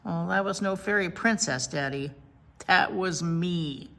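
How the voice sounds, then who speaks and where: A woman's voice: fairly low pitch, measured pace, vocal fry, sharp tone, full character voice. A woman voicing a determined young schoolgirl who plays at being a fairy princess, boastful and insistent, in a storybook reading.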